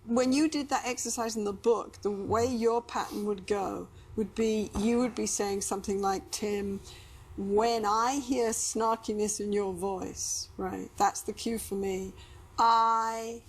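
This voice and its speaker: A woman's voice: medium pitch, steady tone, unhurried, breathy edge, poor audio. A woman co-hosting a casual conversation, guiding it along. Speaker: co-host. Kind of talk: casual conversation about marriage.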